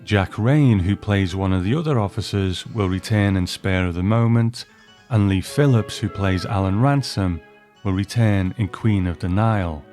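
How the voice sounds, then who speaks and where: Male presenter, lower register, scripted narration and story reading with a music bed. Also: darkish tone. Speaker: host and narrator.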